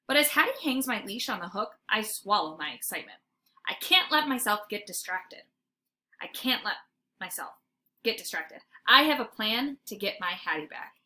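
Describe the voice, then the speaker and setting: Bright-voiced woman reading a children's story. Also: mid-range voice. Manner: dramatic, animated storytelling. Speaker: teacher reading aloud to students. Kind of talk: expressive children's book read-aloud. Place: informal homemade recording. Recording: faint background noise, homemade recording.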